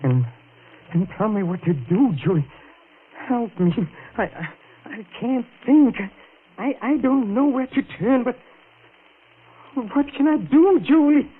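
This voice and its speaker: An actor playing a guilt-ridden, panicky murderer in 1940s radio melodrama style, halting and overwrought, repeating himself. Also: male voice, very high-pitched, animated, breathy edge, exaggerated voice. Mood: desperate, helpless. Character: guilt-ridden, panicky man who has committed a murder. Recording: scratchy, narrow 1940s radio recording.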